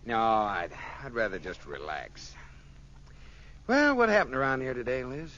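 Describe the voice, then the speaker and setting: Suburban husband, vintage radio comedy straight man. Bright, swooping, exasperated; muffled old recording. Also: mid-range voice, sing-song, measured pace. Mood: casual. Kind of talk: 1940s radio sitcom acting.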